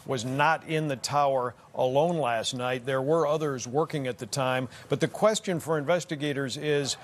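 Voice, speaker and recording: An American man giving a scripted investigative news report with a measured, emphatic broadcast delivery. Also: mid-range voice.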